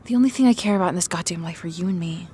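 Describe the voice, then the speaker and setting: A woman playing a blunt, defensive teenage high school girl in an audio drama, easily flustered. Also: very fast, dark tone, a little hiss or hum, natural voice.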